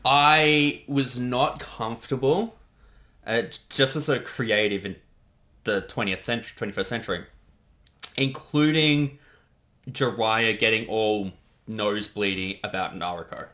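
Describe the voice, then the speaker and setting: Man, thirties, low bright voice, hesitant, casual director's commentary. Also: unhurried. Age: 30s. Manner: hesitant, rambling, self-interrupting. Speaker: co-director giving creator commentary.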